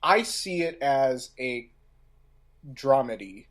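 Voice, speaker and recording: A man co-hosting a casual podcast discussion, speaking haltingly and often pausing in the middle of a phrase. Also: medium pitch, very animated. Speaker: podcast co-host.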